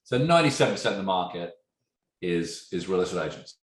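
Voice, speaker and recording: Fifties English man, Aussie-tinged accent, lower pitch, lively storytelling guest in an echoey room. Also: quick pace. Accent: English, softened by years in Australia. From England. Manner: animated storyteller, poses questions to his listener. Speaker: guest, tech entrepreneur. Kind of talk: conversational podcast interview. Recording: roomy echo.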